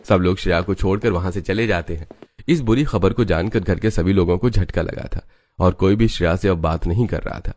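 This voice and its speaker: A man's voice: low voice, fairly quick, a little mellow, a little hiss or hum. A man narrating a scripted family story for a cartoon.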